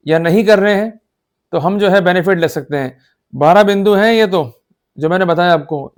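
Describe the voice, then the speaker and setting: A man with an Indian accent giving an explanatory law lecture in a didactic, emphatic manner, often checking that listeners follow. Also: high voice. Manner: didactic, emphatic, checks understanding with repeated short questions. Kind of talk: explanatory lecture in Hindi mixed with English legal terms.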